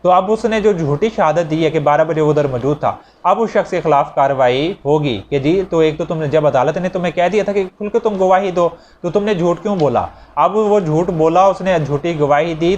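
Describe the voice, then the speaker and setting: A man's voice: a little high, a little fast, few pauses, a little mellow, muffled recording. A man with a Pakistani accent giving an explanatory law lecture in a conversational, repetitive way, mixing in English legal terms. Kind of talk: explanatory lecture with examples, alternating with reading statute text aloud. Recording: noisy recording with background ambience.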